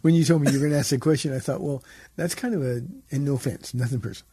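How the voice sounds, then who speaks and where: An older man, a pastor co-hosting a radio show, talking gently and casually with a soft voice. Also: mid-range voice, racing. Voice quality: soft-spoken, gravelly. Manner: gentle conversational teaching. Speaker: pastor, co-host.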